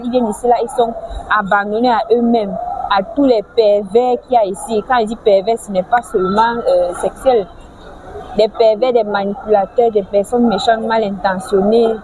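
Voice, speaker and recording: A woman from French-speaking Africa giving a casual advice vlog, lecturing with rhetorical questions and frequent restarts. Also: medium pitch. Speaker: vlogger. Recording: clear background noise, vehicles.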